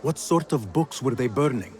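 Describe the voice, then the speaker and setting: Man acting an earnest young assassin, lively pitch. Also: moderate pace, lightly acted. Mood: polite inquiry. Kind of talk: video game cutscene acting.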